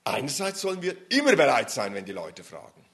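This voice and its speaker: A man's voice: mid-range voice, wildly swooping pitch, a little fast, fairly bright tone. A man preaching an interactive sermon, emphatic and addressing the audience directly.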